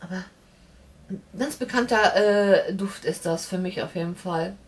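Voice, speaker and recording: A woman's voice: lowish voice, a little slow, distant mic. Chatty woman rambling a little off-mic. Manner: chatty, rambling, many fillers and restarts. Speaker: beauty vlogger. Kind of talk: casual unboxing chat. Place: home room with shelves and warm lamps.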